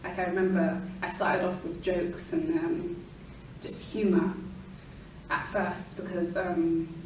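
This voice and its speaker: A woman's voice: fairly low pitch, steady tone, slow pace, darkish tone, slight background noise. A young woman, musing in an interview and hedging her words, in an indoor room. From London, England. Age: young adult. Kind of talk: reflective interview answers. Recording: echoey room, mic far off.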